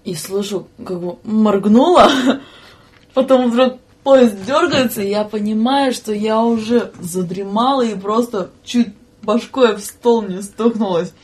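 A woman's voice: mid-range voice, a little slow, a little mellow. A woman in her twenties chatting as a podcast guest, telling stories casually, acting out dialogue and chuckling between phrases. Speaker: guest, student train conductor and video operator. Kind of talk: lively casual storytelling in a podcast chat. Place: podcast studio.

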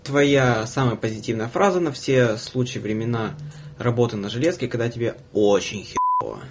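A man's voice: medium pitch, normal speed. A young man hosting a casual interview chat, blunt and teasing, with slangy wording. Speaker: podcast host.

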